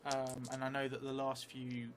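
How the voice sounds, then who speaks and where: A middle-aged man thinking aloud as he improvises a solo tabletop game, hesitant and musing with long pauses. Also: mid-range voice. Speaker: solo role-player and streamer. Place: indoor theatre room, seated at a table. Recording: clear steady background noise.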